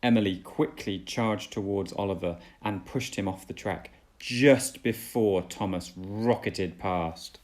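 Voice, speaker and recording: A man reading a children's storybook aloud as a dad would, with deliberate, dramatic storytelling. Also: fairly low pitch, a little mellow.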